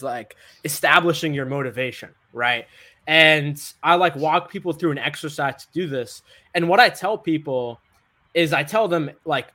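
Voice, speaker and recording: A man's voice: a little high, sharp tone. A young American man, a guest author, talking casually and upbeat in an interview, peppering his sentences with "like". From United States.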